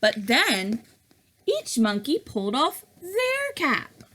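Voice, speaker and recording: A woman reads a children's story in a fairly high, bright voice. Her intonation swings widely, with deliberate phrasing and dramatic stress on repeated words. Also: huge pitch swings, a little hiss or hum. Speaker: educator reading a picture book aloud. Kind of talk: expressive storybook read-aloud for young children.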